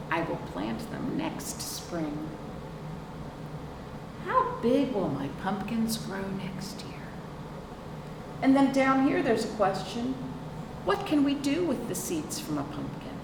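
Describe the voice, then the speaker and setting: A woman's voice: a little high, sing-song, slow, pauses often. A woman hosting a children's storytime, reading aloud expressively and prompting young listeners with simple questions. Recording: roomy, distant mic, clearly noisy.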